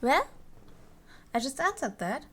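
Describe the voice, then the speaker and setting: A woman playing a cheerful, possessive yandere elf in an ASMR roleplay, speaking to the listener in a coy, playful way with drawn-out phrases. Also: high-pitched, sing-song. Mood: chirpy, matter-of-fact.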